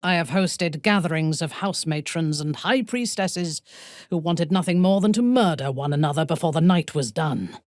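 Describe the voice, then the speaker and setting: An actress playing a cold, haughty female drow paladin in a video game, commanding and deliberate, with a husky, breathy voice. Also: deep voice, a little mellow, no echo, clean studio sound, close to an everyday voice. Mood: cold, composed. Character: menacing, imperious female drow paladin warrior, devout and ruthless. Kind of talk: video game character acting.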